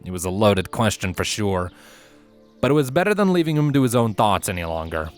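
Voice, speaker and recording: A man reading a fiction story aloud as its narrator, in an expressive scripted style. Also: on the low side, brisk, soft background music.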